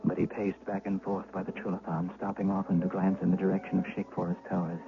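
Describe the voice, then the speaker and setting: A fearful eyewitness tells his tale for a 1970s radio play. The voice is lowish, deliberate and literary, full of suspense. The old recording is dull and clearly noisy. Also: little pitch movement. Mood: uneasy, watchful. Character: fearful witness recounting his dark tale. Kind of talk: dramatic first-person radio narration, 1970s.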